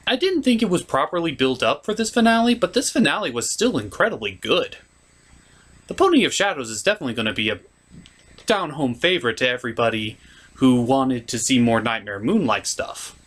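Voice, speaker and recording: A man's voice: medium pitch, big pitch swings, brisk, fairly bright tone. A man giving a casual, opinionated cartoon review as an online host, blunt and a bit showy.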